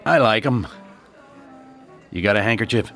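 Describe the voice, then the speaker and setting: A man's voice: on the low side, moderate pace, a bit bright, soft background music. An actor playing a cocky, menacing tough man in a radio drama, with sardonic, clipped talk. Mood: guarded, needling.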